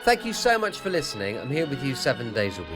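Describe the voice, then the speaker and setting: A man's voice: mid-range voice, wildly swooping pitch, fast. A man hosting a radio show, giving a friendly sign-off. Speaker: online radio show host. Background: instrumental music.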